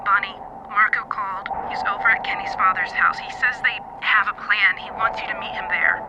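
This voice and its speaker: A woman's voice: mid-range voice, fairly even intonation, fairly quick, lo-fi sound, heavy background noise, natural voice. A woman playing a worried, caring friend, concerned and pleading over the phone in an audio drama. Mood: urgent, pleading. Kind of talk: naturalistic audio drama acting.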